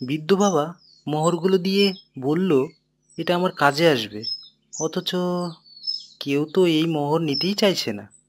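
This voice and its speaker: A man's voice: a little high, a little slow, long pauses, slight background noise, close to an everyday voice. A man playing a humble, dutiful young village farmer, earnest and polite, in a cartoon moral tale. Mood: troubled.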